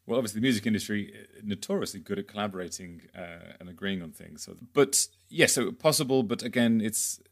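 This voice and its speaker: A man hosts a podcast discussion in a fairly low voice. He asks questions with a wry, joking edge and plenty of hesitant fillers. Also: lively intonation, a little fast, hardly any pauses. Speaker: podcast host.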